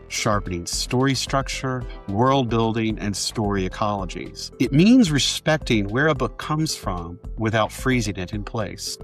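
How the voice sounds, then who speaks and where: A man speaking as a podcast host and publisher, presenting and interviewing. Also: mid-range voice. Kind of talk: scripted podcast introduction, then casual interview.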